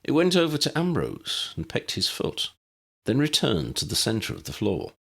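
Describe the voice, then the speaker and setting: A man's voice: mid-range voice, huge pitch swings, normal speed, creaky, a bit bright, husky. A man reading a scripted story aloud as an audiobook narrator.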